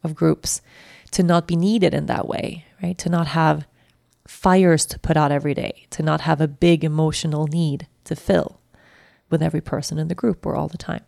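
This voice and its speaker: A woman in her thirties from Sweden with a Swedish accent, hosting and talking candidly and reflectively, halting and restarting as she thinks. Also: low voice, steady tone, vocal fry, no echo, studio quality. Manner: candid, halting, self-reflective with frequent restarts and soft laughs. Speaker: podcast host. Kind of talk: personal reflective monologue.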